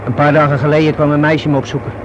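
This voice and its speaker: Radio-drama young informant, played by a man: fairly high voice, wary, rueful, colloquial, hesitant, noisy vintage recording. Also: level tone, rapid-fire, dull audio, lightly acted. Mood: rueful, confiding. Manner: hesitant, colloquial, self-reproaching.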